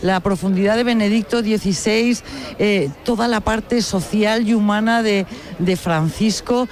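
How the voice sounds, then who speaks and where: A woman's voice: mid-range voice, a little slow, a little mellow. A woman hosting a live outdoor radio broadcast in a conversational way. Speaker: radio host.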